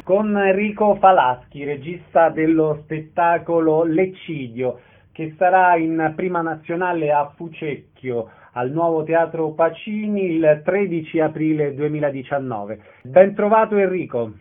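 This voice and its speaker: A man's voice: on the high side, a little slow. A man hosting a radio interview, introducing guests and announcing show details. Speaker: radio host. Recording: narrow phone-like band.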